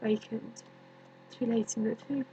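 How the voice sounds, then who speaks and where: A woman performing a dramatic stage monologue as a bitter, grieving young woman, deliberate and accusing, with many pauses. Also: fairly high pitch, a little hiss or hum, poor audio. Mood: sorrowful, haunted. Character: bitter grieving young woman haunted by her dead cousin.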